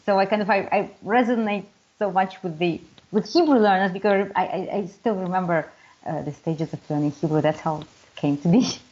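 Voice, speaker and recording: Woman, hesitant, lots of uh and restarts, dull lo-fi sound. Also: lowish voice. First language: Russian. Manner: hesitant, many restarts and fillers, laughs easily. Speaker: Hebrew teacher, guest. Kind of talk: casual interview answers.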